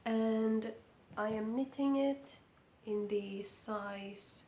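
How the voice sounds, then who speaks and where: A woman from Finland with a Finnish accent, speaking English, sounding croaky from being sick as she talks through a knitting update slowly and carefully. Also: fairly high pitch, dark tone, reverberant, slight background noise. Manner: slow, careful, hesitant. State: hoarse from illness. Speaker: knitting vlogger. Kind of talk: casual vlog update. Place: indoor room under lamp light.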